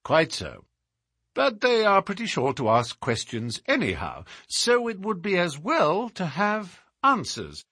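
A man voicing a self-assured gentleman detective in an audiobook, explaining in a precise, formal, theatrical way. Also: high-pitched, huge pitch swings, a little slow, breathy edge, no echo, clean studio sound, pitched above the performer's own voice, exaggerated voice. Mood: suave, teasing.

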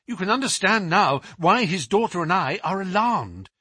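Man as an anxious, high-voiced male assistant. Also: expressive, normal speed, sharp tone, breathy edge, dry recording, full character voice. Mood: apologetic, worried. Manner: respectful, pleading. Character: earnest, anxious, deferential male assistant to a professor. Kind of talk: dramatised audiobook reading.

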